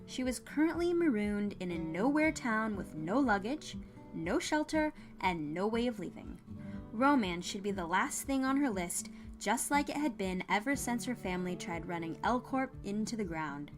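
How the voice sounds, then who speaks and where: A woman's voice: mid-range voice, expressive, moderate pace, over music. A woman narrator reading a scripted fan fiction story.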